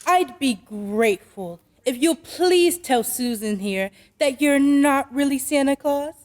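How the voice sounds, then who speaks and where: An actress playing a brisk, skeptical working mother in an old-time radio drama, speaking firmly and properly. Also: high-pitched, lively intonation, darkish tone, in a large hall. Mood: firm, earnest. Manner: firm, deliberate, proper.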